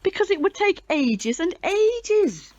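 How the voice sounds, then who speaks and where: A woman's voice: extremely high pitch, very animated, slow, a little mellow, lo-fi sound, full character voice. A woman playing a chatty, proud older British club chairwoman in an audio drama, genteel and theatrical in manner.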